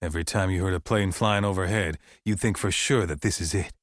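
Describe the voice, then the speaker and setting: An actor playing a middle-aged, paranoid survivalist militia leader with an American accent, giving folksy, emphatic radio monologues in a video game. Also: male voice, deep voice, dry recording, clean studio sound, natural voice. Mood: wary, reflective. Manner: folksy, preachy, deliberate, emphatic. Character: paranoid male survivalist militia leader broadcasting anti-government radio sermons. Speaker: pirate radio broadcaster and camp leader.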